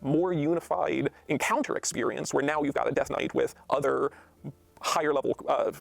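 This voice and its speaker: An articulate man with a fairly high, creaky voice explains his game design work with emphasis. The recording is very dry and close. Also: steady tone, normal speed, no room sound. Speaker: game designer being interviewed. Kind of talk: explanatory promotional interview.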